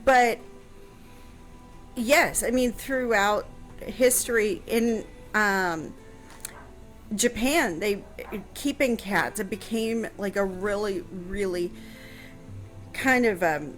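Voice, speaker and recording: A woman's voice: mid-range voice, slow, long pauses, fairly bright tone, faint music behind. A woman chatting casually on a radio show, answering a history question with lots of fillers and restarts.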